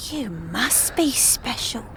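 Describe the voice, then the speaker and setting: A woman's voice: on the high side, big pitch swings, extremely slow, a bit bright, a little hiss or hum, full character voice. A woman voicing a cagey, amused slum scavenger in a fantasy audio drama, with sly street talk.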